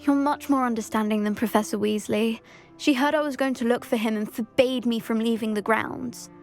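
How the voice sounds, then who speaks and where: A woman's voice: fairly high pitch, fairly even intonation, fairly quick, darkish tone, soft background music, close to an everyday voice. An actress playing an anxious, earnest teenage schoolgirl in a video game, well-spoken. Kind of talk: video game cutscene acting.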